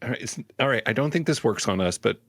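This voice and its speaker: A man playing a tabletop role-playing game, thinking aloud in casual, halting, emphatic talk. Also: medium pitch, animated, a bit bright, slight background noise. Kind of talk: casual improvised role-play chat.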